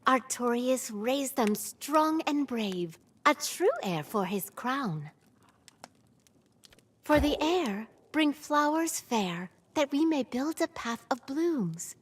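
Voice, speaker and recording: A woman's voice: sits high, big pitch swings, slow pace, husky, full character voice. A woman playing a fantasy game oracle who recites solemn rhyming prophecy in a lilting, theatrical manner. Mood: solemn, mystical. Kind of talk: slow theatrical verse recitation.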